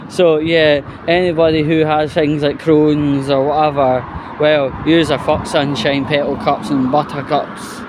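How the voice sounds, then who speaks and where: A man with a Glasgow-area Scottish accent chatting off the cuff in a casual outdoor vlog, with blunt, sweary grumbling. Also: fairly high pitch. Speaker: vlogger presenting a walking video. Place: outdoors by a roadside bus stop. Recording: outdoor street noise with passing traffic. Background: traffic and street ambience.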